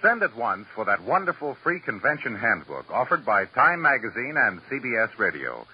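A male announcer in scripted 1950s radio style, with a mid-pitched voice and very animated intonation. The old recording is muffled, with faint hiss. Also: normal speed.

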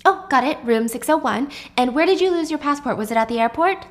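Woman acting out a courteous, high-voiced hotel receptionist. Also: animated, fairly quick. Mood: polite. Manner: courteous, professional. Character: polite, measured hotel front desk receptionist.

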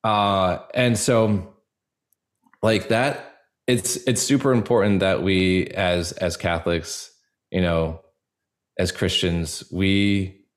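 A man speaking as an interview guest in a casual, earnest way, pausing often as he searches for words. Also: sits low, fairly even intonation. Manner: halting, searching, full of pauses and filler likes.

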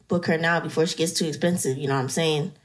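A woman's voice: sits low, steady tone, fast. Slangy, playful woman podcast guest. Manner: slangy, hyping up her friend. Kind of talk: casual playful chat.

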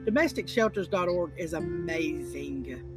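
Woman podcast host, raspy and deep-voiced, chatty and rambling. Music bed behind her. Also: expressive, a little slow. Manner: rambling, repeats words, many "you know"s. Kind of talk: casual interview chat.

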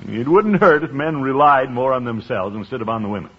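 An actor playing a pompous, good-hearted middle-aged American uncle in an old-time radio comedy. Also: male voice, medium pitch, lively intonation, brisk, slightly rough. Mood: self-assured. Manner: pompous, musing, theatrical. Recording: muffled old radio recording, background hiss.

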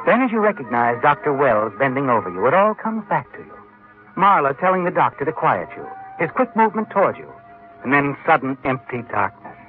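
Casting: male narrator, medium pitch, measured suspense, vintage radio, narrow sound with faint music. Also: sing-song. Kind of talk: dramatic second-person 1940s-50s radio narration. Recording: tinny old radio recording with faint music.

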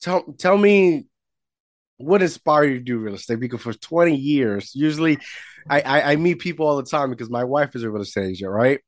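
A man's voice: mid-range voice, animated, a little fast, dry recording, studio quality. A man hosting a podcast, chatting casually with his guest and reacting in short exclamations. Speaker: podcast host. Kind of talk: casual interview chat.

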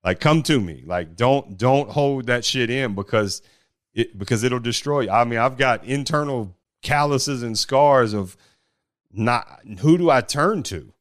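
A man with a big, deep voice, talking bluntly about running a startup in a casual podcast conversation. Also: expressive, unhurried, no echo, studio quality. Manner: blunt and forceful, with mild swearing. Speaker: startup founder.

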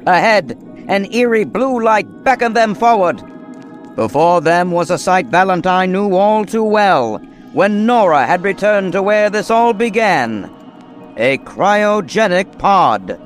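A man's voice: high voice, expressive, takes it slowly, faint music behind. A man narrating an old-time radio mystery from a script in a theatrical, portentous manner.

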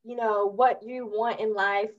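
Slow-paced woman on slightly muffled audio. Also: mid-range voice, fairly even intonation. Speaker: podcast interviewer. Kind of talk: casual conversational interview.

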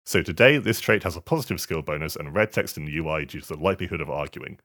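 Male podcast co-host. Very low, forceful voice, halting casual conversational delivery. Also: expressive. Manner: halting, hesitant delivery with stammered repetitions. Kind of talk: casual chat.

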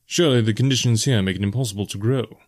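A brooding fugitive sellsword from a fantasy audiobook, voiced by a man. He is low-voiced, guarded and dry, often muttering as if tired of it all. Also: fast, natural voice. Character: weary, guarded male mercenary on the run. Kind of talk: fantasy audiobook character dialogue.

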